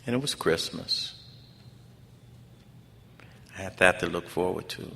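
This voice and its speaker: Deep gravelly American man in echoing hall. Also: a little slow, sharp tone. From Los Angeles, United States. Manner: emphatic, repeats phrases for effect, colloquial grammar. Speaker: speaker at a recovery fellowship convention. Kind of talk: personal testimony speech, preacher-like. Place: large conference hall. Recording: echoey hall PA with background noise.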